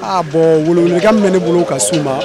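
A young phone repair worker answering a street interview at his shop front, animated and gesturing, speaking into a handheld mic. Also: on the high side, fast talker. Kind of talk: vox pop answer. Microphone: handheld mic held by interviewer. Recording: crowd noise behind.

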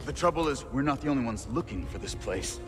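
A man's voice: mid-range voice, lively intonation, fast, over music, close to an everyday voice. An actor playing a friendly, practical male freelancer ally in a video game, with an easygoing, conversational delivery. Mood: polite, serious. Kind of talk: cinematic video game acting. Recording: long hall reverb, game score behind.

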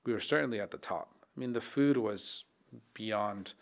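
A man in his thirties, a chef and podcast guest, talking in a reflective, casual way, often restarting his sentences, into a studio mic in a dark podcast studio. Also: on the low side, normal speed. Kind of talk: casual interview talk. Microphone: studio mic on boom arm.

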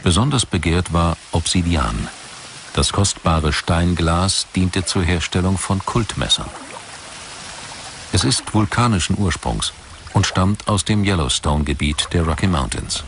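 A man's voice: sits low, lively intonation, measured pace, a bit bright, slight background noise. A man reading scripted documentary narration as the narrator. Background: music behind the voice.

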